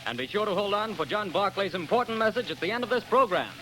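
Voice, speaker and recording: A man announcing a sponsor's message on an old radio show in a booming, emphatic way. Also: animated, quick pace, low-quality recording. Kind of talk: 1930s radio advertisement announcing. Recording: old radio recording with music.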